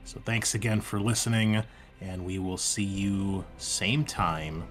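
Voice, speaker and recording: A man's voice: sits low, takes it slowly, music in the background. A man hosting as game master, talking casually through a tabletop roleplaying game. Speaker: game master and channel host.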